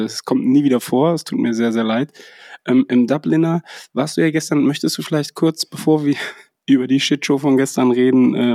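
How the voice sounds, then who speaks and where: A man's voice: mid-range voice, warm tone, no room sound, clean studio sound. A man with a cold hosting a casual podcast chat, hesitant and full of fillers. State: has a cold. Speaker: podcast host. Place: holiday house.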